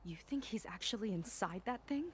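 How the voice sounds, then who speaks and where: A woman's voice: mid-range voice, lively intonation, fairly quick, a little hiss or hum, lightly acted. Sincere female game ally, acted by a woman. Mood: resolute. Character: sincere, capable young woman, loyal ally. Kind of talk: naturalistic video game dialogue acting.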